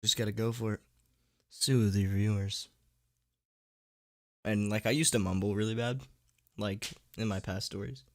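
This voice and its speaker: Low-voiced, sick male streamer chatting casually. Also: steady tone, measured pace, long pauses. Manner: informal slangy young-streamer talk with frequent "dude" and "man". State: sick, with a sore throat; tired. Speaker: livestream host and horror story narrator. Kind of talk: casual livestream chat, reading and answering viewers.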